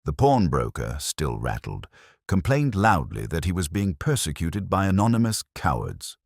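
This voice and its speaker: Very deep, expressive male narrator. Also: gravelly, no echo, studio quality. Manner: measured, literary, suspenseful storytelling. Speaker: audiobook narrator. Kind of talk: scripted dramatic mystery narration.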